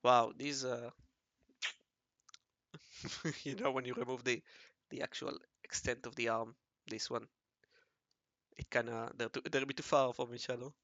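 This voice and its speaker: A man with a raspy voice talking casually to himself while he draws, mumbling and correcting himself as he goes. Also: mid-range voice, level tone, unhurried, long pauses, fairly bright tone, no room sound, dull audio. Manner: mumbling and self-correcting, often murmuring half to himself. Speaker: hobby artist narrating his drawing session. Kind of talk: casual think-aloud commentary while drawing.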